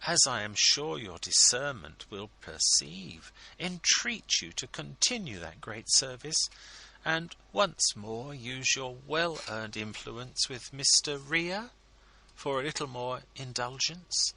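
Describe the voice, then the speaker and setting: A man voicing a gushing, flattering scheming wife who pleads sweetly in a storybook reading. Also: medium pitch, slow, gravelly, voice pushed up, exaggerated voice, rough recording. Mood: gushing pleading.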